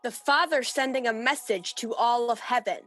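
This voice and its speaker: A haughty angel voiced by a woman with a bright, cutting edge and a slightly high pitch. She is contemptuous and impatient, acting in a radio play with faint music behind. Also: moderate pace, lightly acted. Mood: bitter. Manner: sharp, contemptuous. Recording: radio play recorded over video call, music behind.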